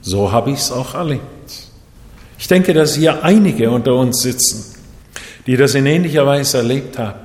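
A man from Japan preaching a sermon in a measured way, his voice rising and falling for emphasis. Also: mid-range voice, a little mellow. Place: church hall. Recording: echoey hall, voice set back.

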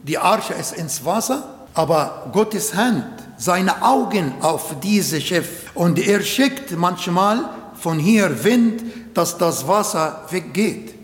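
A man whose first language is Arabic, preaching a sermon slowly and deliberately in German that is not his native tongue. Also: high-pitched, animated. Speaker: pastor. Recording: echoey hall.

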